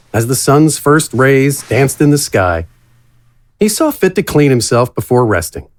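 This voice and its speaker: A man narrating a scripted fantasy story as an audiobook-style narrator. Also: mid-range voice.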